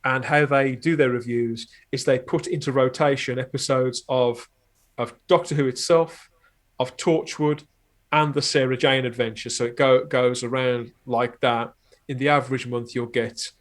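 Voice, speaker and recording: A man talking casually as a podcast guest and reviewer, discursive and often rephrasing himself, with wry understatement. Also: medium pitch.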